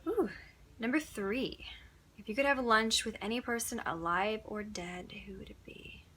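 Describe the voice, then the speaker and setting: A woman's voice: a little high, measured pace, a bit bright, slight background noise. A young woman from upstate New York, an audiobook narrator, chatting through Q&A answers with plenty of ums and little laughs. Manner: chatty, giggly, many ums. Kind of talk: casual Q&A answers.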